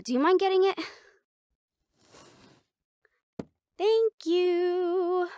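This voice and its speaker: A woman voicing a playful, chatty half-siren, half-banshee in an intimate audio roleplay, talking casually and haltingly to a listener. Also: sits high, no room sound, studio quality. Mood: playful, distracted.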